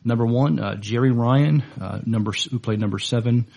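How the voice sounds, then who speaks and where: A man co-hosting a casual podcast, speaking in a halting, hesitant way with many fillers and pauses. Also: mid-range voice, gravelly, a little mellow, husky. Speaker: podcast co-host.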